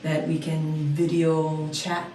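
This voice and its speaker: A woman's voice: low voice, monotone, takes it slowly, dark tone, a little hiss or hum. A woman from Hawaii who teaches dance, answering interview questions in a friendly, easygoing way with frequent fillers. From Oahu, Hawaii. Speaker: dance instructor, guest. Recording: echoey room, mic at a distance.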